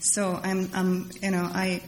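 A middle-aged woman from Iceland, giving personal testimony to a crowd in a halting, rambling way and repeating phrases for emphasis. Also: on the low side, monotone. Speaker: speaker at a recovery meeting. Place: large meeting hall before a crowd. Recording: echoey hall with background noise.

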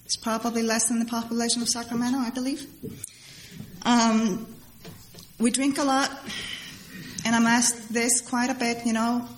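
A middle-aged woman speaks to a crowd in a fairly high voice. Her personal testimony is halting and rambling, with phrases repeated for emphasis. The room is clearly echoey, with faint noise behind her. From Iceland. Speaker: speaker at a recovery meeting. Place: large meeting hall before a crowd. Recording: echoey hall with background noise.

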